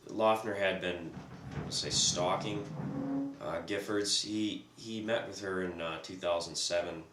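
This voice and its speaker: A man recovering from a cold, hoarse and hesitant, filling pauses with ums as he co-hosts a casual discussion. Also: deep voice, fairly even intonation, fairly bright tone. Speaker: co-host. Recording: echoey room, distant mic, faint noise.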